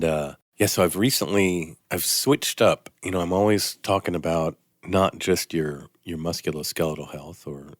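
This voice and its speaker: A man's voice: sits low, dry recording, clean studio sound. An older man, an orthopedic surgeon, hosting a Sunday morning radio health show, talking in a rambling, self-deprecating way with a slight sniffle. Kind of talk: casual radio health talk. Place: radio studio.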